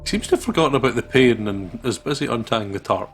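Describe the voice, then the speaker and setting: Man, creaky gravelly voice, mid pitch, let's player narrating a story game, casual, faint music behind. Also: expressive, fast. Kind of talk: reading visual novel narration aloud with casual reactions.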